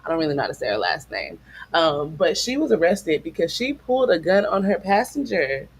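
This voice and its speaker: A man hosting a casual podcast, relaxed and quick to laugh, hedging his opinions. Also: a little high, breathy edge. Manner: relaxed, laughs easily, hedges. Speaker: podcast host. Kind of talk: casual chat.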